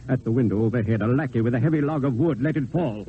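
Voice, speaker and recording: A thin, faintly hissy old radio recording carries a loyal, worried friend, played by an actor. He speaks earnestly and theatrically in a medium-pitched voice. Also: fast, narrow, tinny audio, natural voice. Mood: anguished, worried. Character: Lebray, worried loyal male friend of a poet. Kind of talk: old-time radio stage acting.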